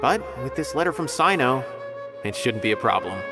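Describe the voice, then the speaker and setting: A man's voice: medium pitch, very animated, moderate pace. An actor voicing a polite, helpful male academic in a video game, explaining things earnestly with some concern. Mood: informative. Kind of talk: scripted video game dialogue. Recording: music behind the voice.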